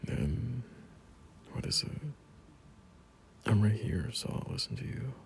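A man playing a gentle, caring boyfriend at bedtime in an intimate ASMR roleplay, speaking softly in a breathy half-whisper with hesitant pauses. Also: on the low side, expressive, a little hiss or hum, full character voice. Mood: soothing, concerned. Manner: soft, slow, hesitant, reassuring.

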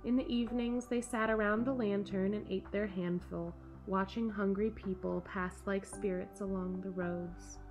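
An American woman presents a storytime for young children in an expressive, enthusiastic way. Her pitch sits in a middle range, and she is recorded indoors at home with music in the recording. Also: moderate pace, few pauses. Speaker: camp director hosting a children's story corner. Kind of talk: children's storytime presenting and picture-book read-aloud. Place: small furnished room at home, indoors.

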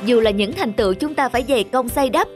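A caring, gently reassuring young wife in a cartoon drama, played by a woman. The voice is high, earnest and comforting. Loud music runs behind it. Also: animated, quick pace, natural voice.

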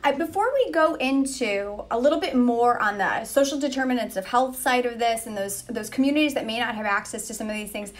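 A woman's voice: a little high, lively intonation, brisk, hardly any pauses. A woman in her thirties moderating a panel on a video call, upbeat and chatty. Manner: upbeat, chatty, lots of 'like'. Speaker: moderator, reporter. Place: indoors, office room. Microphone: video call, webcam.

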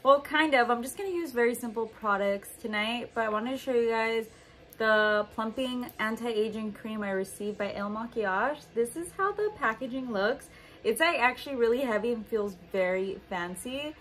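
A woman's voice: a little high, moderate pace, fairly bright tone, a little hiss or hum. A young woman in her twenties chatting casually to the camera at home, upbeat and full of "like" and "just". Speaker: lifestyle vlogger. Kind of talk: casual vlog chat to camera. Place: home living room and bedroom.

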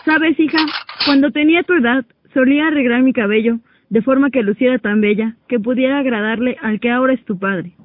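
A woman playing a gentle, affectionate mother of a teenage daughter in a radio drama. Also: high-pitched, brisk. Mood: tender. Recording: dry, thin phone-like amateur recording.

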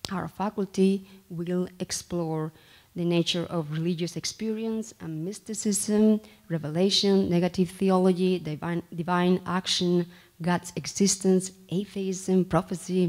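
A woman in her thirties giving a formal introduction at a podium microphone in a small room, in a measured, slightly halting way. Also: lowish voice, a little mellow. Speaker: faculty member introducing the speaker. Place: small lecture room, indoors.

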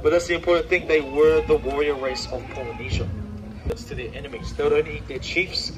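A male tour guide speaks outdoors in a fairly high voice. He explains things to a group as commentary and keeps putting questions to them. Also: steady tone, quick pace, lo-fi sound, over music. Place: outdoors on a canoe tour boat.

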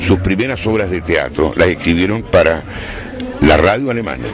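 A man's voice: sits low, expressive, moderate pace. A man in his seventies with a Chilean accent, explaining in a TV interview. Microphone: handheld interview microphone. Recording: crowd noise behind.

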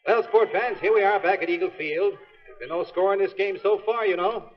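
A man playing an excitable baseball announcer in a 1930s radio play. His voice is high for a man and clipped, on a dull old recording. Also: fairly quick, close to an everyday voice. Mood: excited. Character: excited male baseball radio commentator, turning alarmed.

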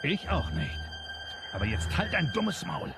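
Gruff defensive cop in audio drama, really swoopy pitch, loud music. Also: male voice, huge pitch swings, unhurried, full character voice. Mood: hostile, defensive. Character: gruff, defensive, bullying male detective.

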